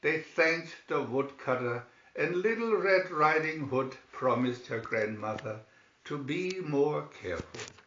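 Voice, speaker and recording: A man's voice: fairly high pitch, expressive, takes it slowly, fairly bright tone. A man narrating a scripted fairy tale expressively as a storybook reader. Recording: roomy, slightly dull recording.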